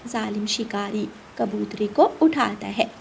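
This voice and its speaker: Slow-paced woman narrating children's story. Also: mid-range voice, gravelly, slight background noise.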